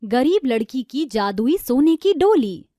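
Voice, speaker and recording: A woman narrating a scripted moral story in an expressive, dramatic storybook style. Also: high-pitched, a little slow, faint music behind.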